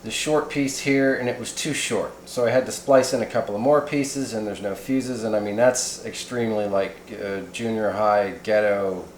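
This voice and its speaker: A man hosting a casual, unscripted gear review at home, talking in a halting, rambling, folksy way. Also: lowish voice, steady tone. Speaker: video host reviewing radio gear. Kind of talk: casual unscripted product unboxing and demo. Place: indoors in a home kitchen. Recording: echoey room with faint noise.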